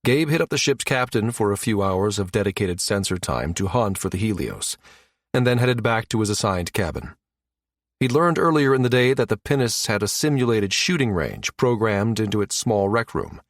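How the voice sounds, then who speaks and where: Brief: male narrator for sci-fi audiobook, lower register, very dry studio-tight sound. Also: lively intonation, normal speed, no echo. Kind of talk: scripted narration of a science fiction story.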